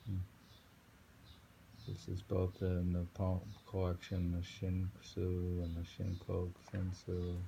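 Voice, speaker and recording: A middle-aged man reading short poems aloud and commenting casually, in a musing, halting way with frequent hums and pauses. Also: sits low, completely flat delivery, vocal fry, dark tone. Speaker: poetry reader. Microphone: handheld phone. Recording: noisy amateur phone recording.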